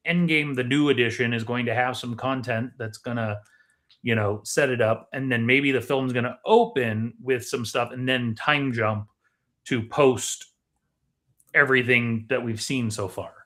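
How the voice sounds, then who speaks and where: A man with a medium-pitched voice discusses things casually and analytically as a podcast co-host. His manner is deliberate and questioning as he works through his reasoning. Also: fairly even intonation, a bit bright.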